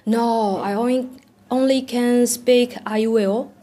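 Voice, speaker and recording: A young woman from Taiwan, answering interview questions in halting English, pausing to find words and laughing often. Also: on the high side, fairly even intonation, dark tone, a little hiss or hum. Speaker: singer guest.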